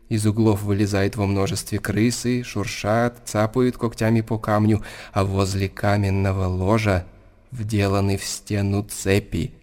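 A man plays a sober chronicler in a radio drama. He tells the tale in a low voice with hardly any rise or fall, restrained and factual. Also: darkish tone, close to an everyday voice. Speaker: narrator. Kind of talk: scripted radio drama narration.

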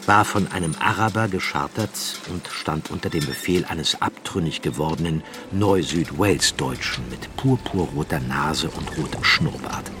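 A man narrating a literary radio drama in a measured, solemn style with dramatic phrasing. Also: a bass voice. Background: prominent music.

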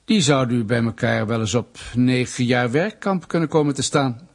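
An actor playing a smug, menacing state official in a Dutch radio play, mock-polite, pedantic and insinuating. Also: male voice, medium pitch, normal speed, close to an everyday voice. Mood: smug, threatening.

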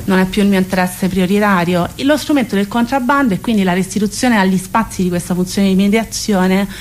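A woman's voice: medium pitch, fairly quick. A woman speaks as a radio interview guest, explaining a project in long sentences while searching for words. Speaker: guest presenting a theatre residency project.

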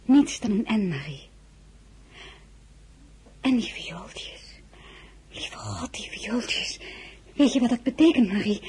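An actress playing a tender, emotional royal wife in an audio drama, with a soft, breathy voice and an intimate way of speaking. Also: female voice, high-pitched, full character voice. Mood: wistful, shaken. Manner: intimate, hushed, feeling-laden. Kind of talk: audio drama acting with first-person narration.

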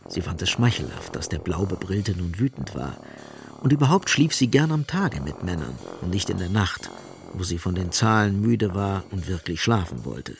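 An actor playing the storyteller of a literary radio drama, narrating deliberately and expressively. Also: male voice, sits low, big pitch swings, gravelly, heavily acted. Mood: observant. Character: omniscient male storyteller of a literary novel. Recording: faint music behind the voice.

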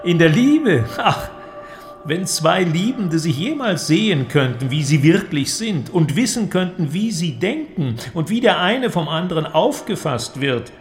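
An actor playing an eloquent, cynical inventor who argues in a declamatory, creaky voice in a literary audio drama. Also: male voice, a little high, big pitch swings, a little slow, faint music behind. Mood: sardonic, impassioned. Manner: declamatory, argumentative, rhetorical. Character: male inventor, cynical, eloquent philosophical persuader.

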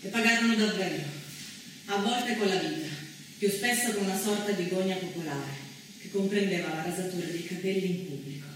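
A woman's voice: lowish voice, fairly quick, lightly acted. A woman performing as an earnest chronicler of women's wartime history, delivering scripted theatrical narration emphatically from a stage, heard at a distance. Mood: grave, factual. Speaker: stage actress in a two-woman theatre play. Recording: very echoey stage, distant, noisy.

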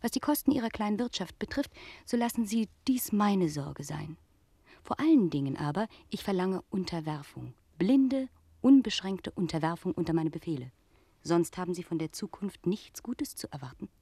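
An actress playing a proud, vengeful noblewoman in a German radio play, speaking in an imperious, measured and formal way. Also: on the low side, animated, warm tone, slightly rough, no echo. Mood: commanding, threatening. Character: proud, vengeful aristocratic lady, coldly commanding.

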